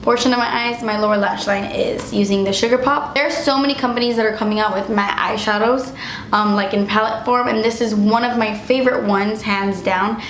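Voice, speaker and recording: A woman's voice: medium pitch, steady tone, a little fast, fairly bright tone, reverberant, slight background noise, rough recording. A young woman reviewing makeup in a chatty, gushing way, losing her voice as she gets sick. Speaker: beauty vlogger. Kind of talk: casual product review.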